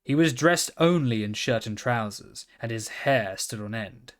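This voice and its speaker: Slow-paced man reading a storybook. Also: mid-range voice, animated. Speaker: storybook reader. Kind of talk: scripted children's story narration.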